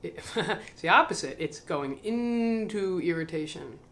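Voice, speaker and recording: A man reading a spiritual book aloud to camera. He adds slow, musing commentary, questions himself, and trails off into mumbles. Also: high-pitched, lively intonation, pushed and bright.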